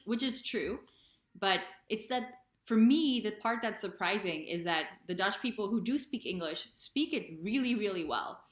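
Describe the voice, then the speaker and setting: A woman's voice: mid-range voice, a bit bright. A young American woman in her twenties or thirties talking in a casual, conversational and emphatic way, explaining things as a video presenter. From United States. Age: 20s or 30s. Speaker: video presenter, expat vlogger. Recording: roomy, echoing sound.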